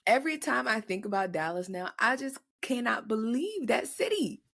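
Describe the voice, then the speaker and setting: Lively, blunt woman podcast co-host. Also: a little high, normal speed, creaky. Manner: animated, blunt, swears freely. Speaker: mother. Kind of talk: casual chat.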